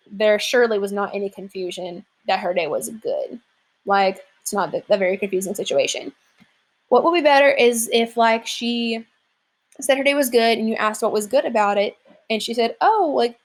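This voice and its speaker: A young adult woman teacher walking students through an assignment in a casual, chatty way with many fillers. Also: on the high side. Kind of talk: classroom lesson walkthrough.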